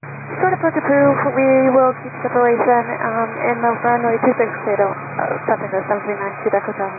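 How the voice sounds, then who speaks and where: Higher-voiced woman pilot on noisy radio. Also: fairly even intonation, fairly quick. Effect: radio transmission. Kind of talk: aviation radio readbacks. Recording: muffled, noisy aviation radio.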